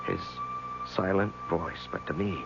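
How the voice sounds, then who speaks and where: Troubled confessing lawyer, radio drama, hesitant, gravelly, muffled old recording with music behind. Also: male voice, sits low. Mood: haunted, confessional. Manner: hesitant, halting.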